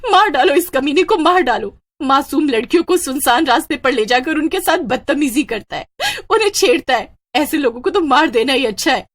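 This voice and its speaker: Emotional high-pitched young woman voiced by a narrator. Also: animated, moderate pace. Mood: furious. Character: upset, earnest young working woman who met ghosts. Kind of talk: Hindi horror story dialogue.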